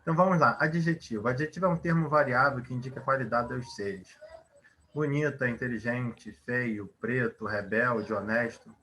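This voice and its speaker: A man's voice: mid-range voice, normal speed, pushed and bright, a little hiss or hum. A man teaching a Portuguese grammar lesson, explaining at length, asking rhetorical questions and using many fillers.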